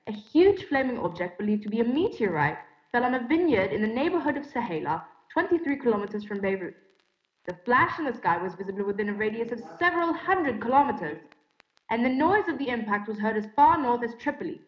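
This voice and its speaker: A woman's voice: a little high, sing-song, a little fast. A woman playing a formal radio announcer reading scripted news bulletins in a stage play. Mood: formal, grave. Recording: dull, echoey stage recording.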